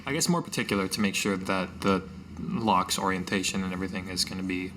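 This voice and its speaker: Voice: low voice, fast. A player in a tabletop roleplaying game, improvising in-character talk in a casual way. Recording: noticeable background noise.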